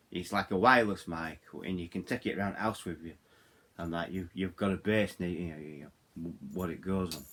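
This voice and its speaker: Deep-voiced Yorkshire man, casual and rambling. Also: fairly bright tone. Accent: Yorkshire English. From Yorkshire, England. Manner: rambling, digressive, repeats himself. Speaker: hobbyist radio reviewer. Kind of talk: casual hands-on product walkthrough.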